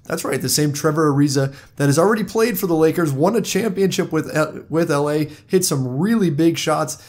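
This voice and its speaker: Hoarse man hosting a sports podcast. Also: on the high side, fairly quick, darkish tone. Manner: conversational, talks straight to listeners. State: hoarse from a cold. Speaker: podcast host. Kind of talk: solo sports podcast monologue.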